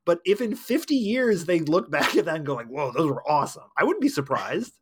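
A man's voice: high-pitched, a little fast, a little hiss or hum. A man co-hosting a podcast, chatting casually about movies and laughing as he talks. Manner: animated, laughs while talking. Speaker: podcast co-host.

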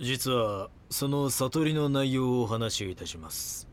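An actor playing a calm, grandiose cult founder in an audio drama, speaking politely and preachily with deliberate phrasing. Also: male voice, mid-range voice, lightly acted. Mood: calm, solemn.

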